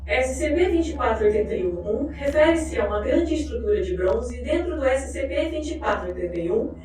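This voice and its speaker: High-voiced woman narrator in heavy echo. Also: lively intonation, a little fast, a little mellow. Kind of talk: scripted narration, reading a formal document. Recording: very echoey, distant, faint music behind.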